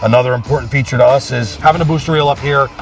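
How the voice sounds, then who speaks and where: A man's voice: mid-range voice, very fast, far from the mic, faint music behind. An American man, a fire chief, explaining equipment in a practical, plain-spoken way during a walk-through. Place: outdoors around a fire truck.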